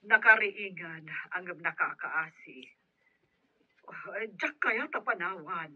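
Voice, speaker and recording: A woman's voice: fairly low pitch, slow, slightly rough. A woman playing a sympathetic, concerned helper on the telephone in a radio drama. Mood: concerned, confiding. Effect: telephone filter. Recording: thin, dull telephone sound.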